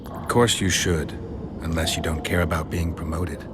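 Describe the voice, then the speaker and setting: A man's voice: extremely low pitch, fairly even intonation, normal speed. A man playing a terse, wry swordsman who hunts monsters in a video game, speaking in a dry, understated way. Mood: wry, resolute. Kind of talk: video game cutscene acting. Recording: game audio with loud ambient noise.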